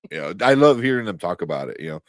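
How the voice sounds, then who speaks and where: A man's voice: low voice, big pitch swings, quick pace, no room sound, clean studio sound. A man hosting a casual live pop-culture chat, rambling enthusiastically and often searching for names. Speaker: host of a pop-culture review show.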